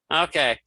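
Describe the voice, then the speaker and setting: A man giving a casual spoken software walkthrough as an instructor, thinking aloud informally as he demonstrates. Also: no echo, studio quality. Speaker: screen reader tutorial instructor.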